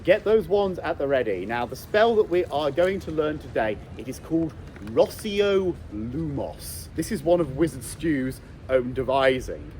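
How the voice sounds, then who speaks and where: A man's voice: fairly high pitch, sing-song, unhurried, few pauses, a little mellow, a little hiss or hum. A man working as a tour guide outdoors, leading the group in a playful, theatrical way. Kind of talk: guided walking tour patter. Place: outdoors in a foggy graveyard.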